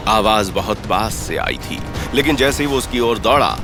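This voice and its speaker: A man's voice: on the low side, lively intonation, moderate pace. A man giving dramatic scripted narration of a story. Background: background music.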